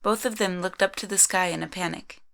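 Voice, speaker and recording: A woman's voice: on the low side, fairly even intonation, fairly quick, creaky, a bit bright. A woman narrating a scripted story in the third person.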